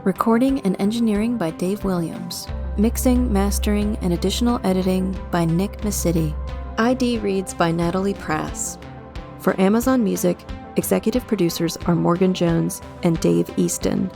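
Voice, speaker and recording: Woman, announcer, scripted credits read, lowish pitch, vocal fry, measured, music behind. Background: prominent music.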